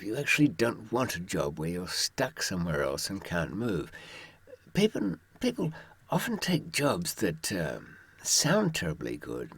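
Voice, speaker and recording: Deep-voiced, husky man with wry delivery. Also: fairly bright tone, dry recording. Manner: wry, dry humour with asides to the listener. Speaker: author giving prison survival advice from experience. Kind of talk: informal monologue with anecdotes.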